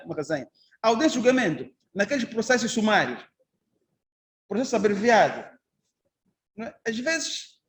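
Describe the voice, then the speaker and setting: Angolan man, high-pitched judge-trainer, conversational lecture full of rhetorical questions. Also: fairly bright tone. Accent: Angolan Portuguese. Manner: conversational, anecdotal, asks the class rhetorical questions. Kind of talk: informal lecture to trainee magistrates.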